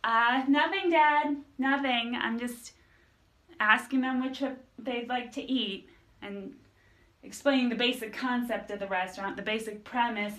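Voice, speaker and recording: A woman's voice: fairly high pitch, a little slow, fairly bright tone. A woman voicing a young female cashier who rambles hesitantly while explaining the menu. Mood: awkward. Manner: hesitant, lots of ums.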